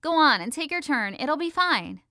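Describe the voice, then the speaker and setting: A woman acts out an excitable, stubborn teenage girl in an audiobook. Her voice is high and bright, with very lively pitch. She speaks in a dramatic, emphatic way. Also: moderate pace, full character voice. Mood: dismissive, insistent. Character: excitable, stubborn sixteen-year-old girl. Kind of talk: audiobook character dialogue.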